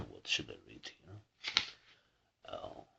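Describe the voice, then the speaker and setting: A husky-voiced man who keeps clearing his throat, reading a book aloud haltingly for his video channel and stumbling over words. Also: a bass voice, pushed and bright, highs cut off, slight background noise. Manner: halting reading with restarts and slips. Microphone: camera mic some distance away.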